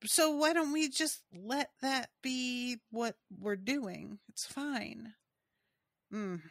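A woman's voice: fairly high pitch, slow pace, gravelly, a bit bright, no room sound, studio quality. A woman co-hosting a podcast, talking casually and trailing off into asides. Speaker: podcast co-host. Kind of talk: casual recap chat about a TV episode.

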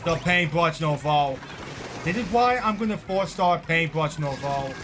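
Guy in his 20s streaming, talks slow and kinda high, rambly, game music behind. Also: lively intonation. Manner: rambling, repeats himself, bursts of frustration. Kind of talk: casual game commentary to chat.

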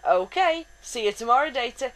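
A woman's voice: a little high, animated, moderate pace, voice pushed up, lightly acted. A woman voicing a friendly, easygoing male engineer who talks casually. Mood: chatty. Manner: casual, chatty.

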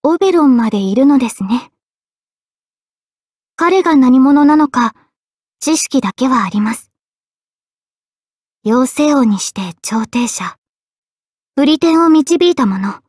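A woman playing an earnest, polite, quietly self-deprecating young female mage in expressive anime game voice lines. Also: high voice, a little slow, long pauses, dry recording, studio quality. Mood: quiet, reflective. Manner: polite, expressive, shifting between excited and subdued.

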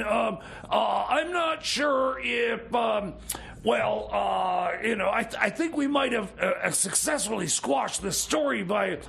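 A shifty, nervous sidekick from a comedy radio play, acted by a man. His voice sits high for a man and is extremely gravelly. He stammers, rambles and repeats himself as he schemes. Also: a bit bright, heavily acted. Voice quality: creaky, gravelly. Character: nervous, scheming male time machine mechanic, shady sidekick. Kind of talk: improvised comedy radio theater.

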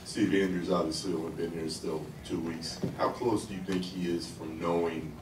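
A man's voice: sits low, steady tone, moderate pace. A man working as a reporter, asking a question at a press conference. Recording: distant, echoey, noisy press room.

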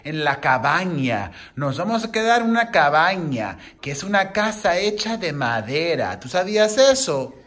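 A man's voice: a little high, big pitch swings, normal speed, few pauses, fairly bright tone. Patient dad coaxing his kids. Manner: patient, coaxing questions to children. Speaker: parent vlogger. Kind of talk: casual family chat. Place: home living room, indoors. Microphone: camera across the room.

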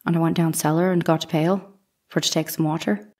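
An actress playing a young housemaid giving testimony in an audio drama, answering in plain, brief, deferential replies. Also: female voice, low-pitched, level tone, fairly quick, darkish tone. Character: young Irish housemaid on the witness stand.

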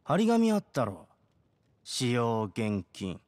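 A man's voice: medium pitch, huge pitch swings, takes it slowly, noisy background. An actor playing a menacing street thug guarding his turf in a game cutscene, brusque and threatening. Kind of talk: Japanese game cutscene acting. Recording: loud music behind the voice.

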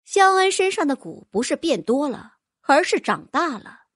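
A woman narrating a fantasy audiobook in a lively, expressive way. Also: high-pitched, normal speed, no echo, studio quality. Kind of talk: scripted fantasy novel narration.

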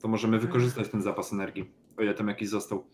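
A man's voice: lowish voice, level tone, very fast, darkish tone, a little hiss or hum. A man playing a tabletop role-playing game, talking through plans in character.

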